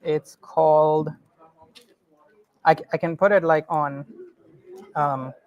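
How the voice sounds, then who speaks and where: A man's voice: fairly high pitch, flat delivery, slow pace, lo-fi sound. A man speaking at a developer conference, closing his talk and casually answering audience questions, with frequent "like"s and restarts. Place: conference room.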